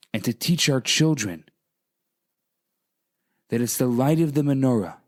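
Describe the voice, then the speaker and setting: A man's voice: mid-range voice, a little mellow. A man speaking as a rabbi and radio co-host, explaining things to listeners.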